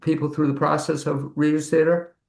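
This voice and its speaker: A man with a gravelly, creaky voice hosts a casual interview. He puts questions to his guests conversationally, and the recording sounds roomy and echoing. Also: a little high, little pitch movement, a little fast, a little mellow. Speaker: interviewer, host.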